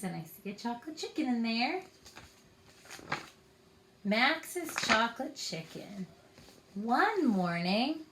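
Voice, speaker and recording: A woman's voice: a little high, a little hiss or hum. A woman reading a story aloud expressively, as if to young children. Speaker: storytime reader. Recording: roomy echo.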